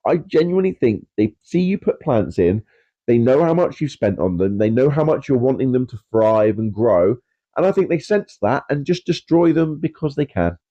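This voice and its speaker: A man with a blocked, congested voice talks casually and loosely, stopping often on fillers and laughing off his own pronunciation. His tone is mellow and dark. The recording sounds a bit dull and lo-fi. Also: medium pitch, sing-song, moderate pace. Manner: rambling, filler-heavy, self-deprecating about pronunciation. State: congested with a cold. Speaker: aquarium channel host. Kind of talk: casual chatty video talk.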